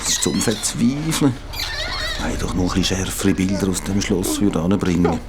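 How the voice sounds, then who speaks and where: A man's voice: sits low, expressive, normal speed. A man playing a worried, fretful watcher in a Swiss German children's audio drama. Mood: weary, worried. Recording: loud ambient noise.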